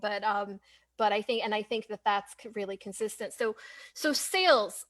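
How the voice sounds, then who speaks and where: A woman hosting a casual interview, informal and somewhat halting, repeating words as she forms her questions and comments. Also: mid-range voice, a bit bright. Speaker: interviewer, host. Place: live online video conversation.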